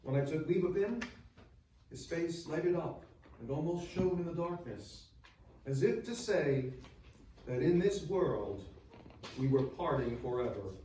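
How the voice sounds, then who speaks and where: A grieving churchman recites from far off in a very echoey space. He is solemn and declamatory, drawing out his mournful phrases very slowly as in a stage drama. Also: on the high side, lively intonation, dark tone, a little hiss or hum. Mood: tender sorrow. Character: grieving churchman bidding a dear lost friend farewell. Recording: distant, very echoey live hall sound.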